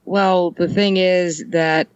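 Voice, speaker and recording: A woman's voice: lowish voice, steady tone, slow pace, clean tone, dry recording, muffled recording. A woman chatting casually on a podcast, rambling and joking, laughing often. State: ill. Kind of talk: casual chat.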